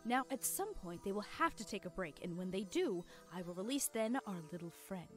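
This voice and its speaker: A woman's voice: medium pitch, animated, a little fast, soft background music, natural voice. A woman voicing a patient, menacing cartoon pony villain, a mother plotting in a conspiratorial tone. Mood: scheming.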